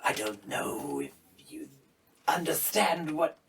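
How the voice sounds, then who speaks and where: A man's voice: a little high, huge pitch swings, slow pace, vocal fry, background noise, full character voice. A man voicing an anxious little kobold. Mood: urgent warning. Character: rescued small kobold, male, anxious and urgently warning.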